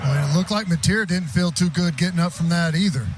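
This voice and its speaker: A high-pitched man gives color commentary on a football radio broadcast. He sounds folksy and conversational, with faint stadium noise around him. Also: brisk. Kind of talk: informal football analysis.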